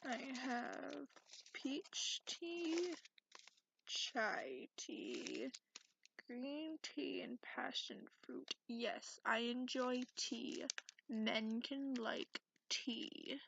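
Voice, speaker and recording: Cranky, sarcastic male dragon played by a woman for ASMR roleplay; unhurried, soft, gravelly fry, grudging manners. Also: sits high, animated, heavily acted. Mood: grudgingly polite. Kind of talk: ASMR roleplay, partly whispered. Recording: lo-fi, noisy close recording with clicks.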